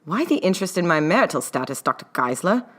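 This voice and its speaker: A woman's voice: lowish voice, very animated, quick pace. A woman voicing a guarded, snappish male academic with formal, precise wording in an audio story. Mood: snappish, prim.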